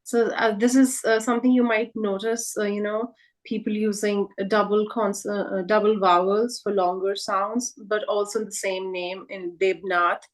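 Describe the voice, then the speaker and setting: A woman's voice: medium pitch, little pitch movement, normal speed. A woman whose first language is Hindi, presenting a live online workshop over a video call and carefully repeating each name as she explains it.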